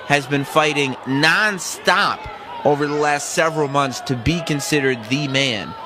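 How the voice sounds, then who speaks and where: A man calling a wrestling match as a color commentator, excitable and often shouting for emphasis. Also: fairly high pitch, a little slow, crowd noise, background noise. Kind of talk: live play-by-play commentary.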